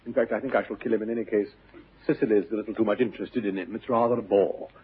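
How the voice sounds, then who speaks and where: An earnest, easily irritated English gentleman of the upper class, speaks in clipped stage-comedy style. He is quick and serious, with a rough, husky tone on a dull vintage recording. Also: fairly low pitch, steady tone. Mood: indignant.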